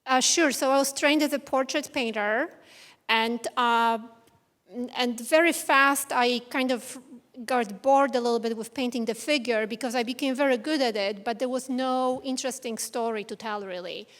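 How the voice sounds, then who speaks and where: A woman's voice: fairly high pitch, normal speed, a bit bright, long reverb tail. A woman from Poland, an artist talking onstage in a fireside conversation, earnest and searching for words. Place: event venue with audience.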